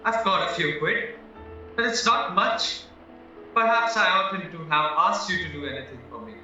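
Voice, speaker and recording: An actor as a naive young man accused of murder in an audio drama. His voice is high and sharply bright, full of nervous worry, in a very echoey room. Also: normal speed, soft background music. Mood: worried, pleading. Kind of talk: stage-style radio play acting. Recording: echoey, distant, muffled room recording.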